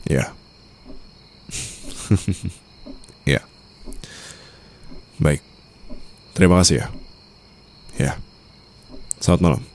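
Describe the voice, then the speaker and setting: Man acting a low, slow-talking mafia boss. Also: slight background noise, heavily acted. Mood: polite, reassuring. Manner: unhurried, composed, quietly commanding, formal. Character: cool, controlling young mafia boss, newly married husband. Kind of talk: Indonesian ASMR roleplay acting.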